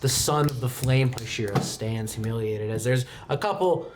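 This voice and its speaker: A man's voice: medium pitch, moderate pace, background noise. A man narrating a tabletop roleplaying game as its game master. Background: faint background music.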